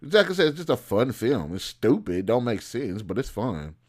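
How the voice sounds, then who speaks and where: A man's voice: medium pitch, sing-song, normal speed. An American man giving casual, opinionated movie commentary, colloquial and thinking aloud as he corrects himself. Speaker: host of a film commentary show.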